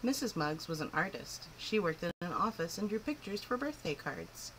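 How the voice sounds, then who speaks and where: A woman reading a picture book aloud to children in an expressive, clearly phrased storytelling style. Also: on the low side, moderate pace, slight background noise, rough recording. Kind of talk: animated children's story narration.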